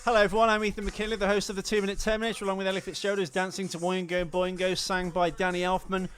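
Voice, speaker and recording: A man's voice: high-pitched, little pitch movement, rapid-fire, fairly bright tone, soft background music. A man hosting a comedic film podcast, chatting casually in a jokey, crude, rambling way. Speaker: podcast host.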